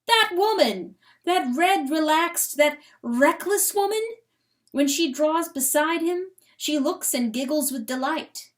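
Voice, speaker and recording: A woman's voice: sits high, expressive, slow pace. A woman giving a theatrical, emphatic dramatic reading of a story as an audiobook narrator. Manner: theatrical, emphatic, rhetorical questions.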